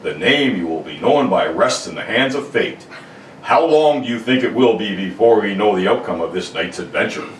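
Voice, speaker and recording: A man's voice: fairly low pitch, animated, normal speed, natural voice. A man playing a solemn army general with grave orders in an old-time radio drama. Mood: stern, solemn. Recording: roomy, distant mic with faint noise.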